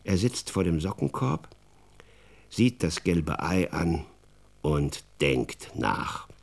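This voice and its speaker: Kids radio play narrator, really unhurried, husky, pitch goes all over, dramatic storytelling. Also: male voice, heavily acted. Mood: wry, deliberate. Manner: expressive, deliberate storytelling. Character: expressive male storyteller who also talks with the boy.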